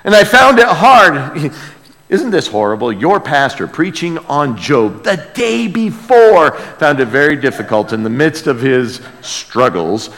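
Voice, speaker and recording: A man preaching a sermon as a pastor, earnest and emphatic, moving between hushed lines and loud exclamation, with rhetorical questions to the congregation. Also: fairly high pitch, big pitch swings, measured pace. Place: large reverberant room, preaching to a congregation. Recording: long hall reverb.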